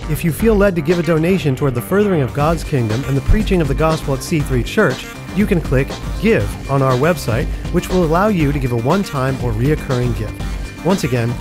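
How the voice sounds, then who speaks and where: A man reading a scripted church announcement as a voice-over. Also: a little high. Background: music.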